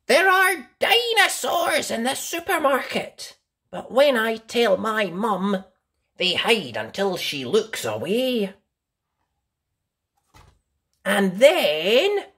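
Female children's story reader, slow and theatrical, with hugely varied intonation. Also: a little high, very animated, fairly bright tone. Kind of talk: expressive children's read-aloud.

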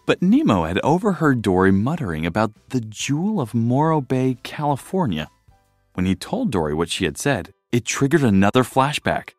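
Very animated male storybook narrator. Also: mid-range voice, normal speed, dry recording, clean studio sound, faint music behind. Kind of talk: expressive children's read-aloud narration.

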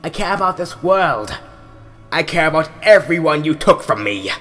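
A man voicing a betrayed skeleton hero turned grimly vengeful, speaking theatrically with dramatic pauses in a comic dub. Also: on the high side, big pitch swings, fairly bright tone. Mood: vengeful, intense. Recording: music behind the voice.

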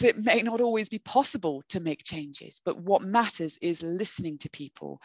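A woman academic giving a recorded webinar lecture over a video call, measured and explanatory, with occasional "um"s and rephrasings. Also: on the low side, no echo. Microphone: computer webcam mic on a video call.

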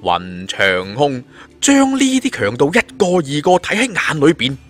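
A man telling a suspense fantasy story in an expressive, colloquial, dramatic style. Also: mid-range voice, measured pace, soft background music. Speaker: audiobook storyteller.

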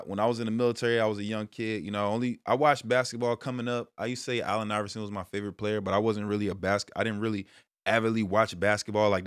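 A man in his thirties from Brooklyn, hosting a casual sports talk stream from a small room at home, switching between loud hype and low muttered asides. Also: dry recording, clean studio sound. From Brooklyn, New York. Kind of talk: casual monologue to viewers.

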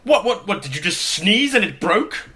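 An actor playing a pompous, fussy, excitable posh man who stammers, in a comedy audio drama. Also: sits high, moderate pace, husky, exaggerated voice. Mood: indignant, flustered.

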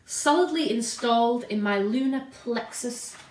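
Woman acting theatrical old lady, high-voiced. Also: darkish tone, recorded from a distance, a little hiss or hum, lightly acted. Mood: wistful, dramatic. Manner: theatrical, deliberate, drawn-out delivery. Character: eccentric posh old lady, grandly vain storyteller. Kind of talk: dramatic reading of a short story. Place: indoor room at home. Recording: roomy home sound.

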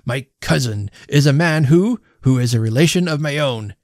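A man voicing a self-important, stentorian host who speaks haltingly and pompously in a storybook reading. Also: medium pitch, animated, a little mellow, no room sound, studio quality, voice pushed up, lightly acted. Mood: pompous, floundering. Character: self-important, stammering middle-class host making a pompous toast. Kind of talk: storybook character voice.

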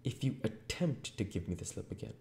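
A man voicing an irritable, menacing invisible man in an audiobook, sharp and commanding. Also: fairly low pitch, animated, a little fast, creaky, a little hiss or hum, pitched above the performer's own voice. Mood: threatening. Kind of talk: audiobook character voice. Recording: echoey room.

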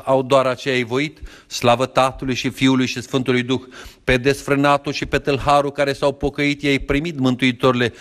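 A man reading a long Orthodox penitential prayer aloud in a steady, even recitation. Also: medium pitch.

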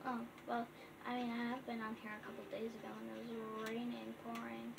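A young girl chatting casually while playing a video game, reading the game's letters aloud haltingly. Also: a little high, completely flat delivery, gravelly, background noise. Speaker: gaming vlogger. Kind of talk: casual gameplay chat. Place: dim bedroom in front of a TV.

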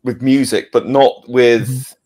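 A man chats casually as an interview guest. He speaks haltingly and with some self-deprecation, in a middle-pitched voice, chuckling as he goes. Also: fairly even intonation, darkish tone. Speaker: audio drama producer and director, podcast guest.

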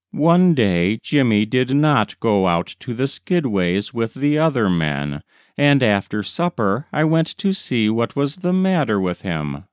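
A man narrating a short story from a script in a measured storytelling manner. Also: medium pitch, clear, resonant tone, no room sound. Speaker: audiobook narrator.

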